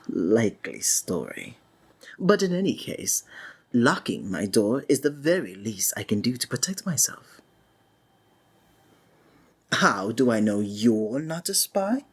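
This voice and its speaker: A woman playing a flamboyant, courtly and sinister stage magician in a theatrical ASMR roleplay, with flowery, drawn-out, flattering phrasing. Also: extremely low pitch, husky, heavily acted. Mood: smoothly guarded.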